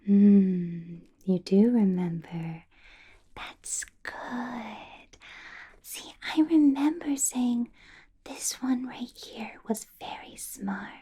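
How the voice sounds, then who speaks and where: An ancient vampire woman in charge, acted out by a woman, speaks in a very dark, breathy whisper for an ASMR roleplay. She takes her time over each phrase, teasing and coolly menacing, with lingering pauses and a possessive edge. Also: medium pitch, full character voice. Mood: probing, coolly stern, then smug approval. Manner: slow, deliberate, teasing questions with lingering pauses. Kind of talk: ASMR roleplay, personal attention.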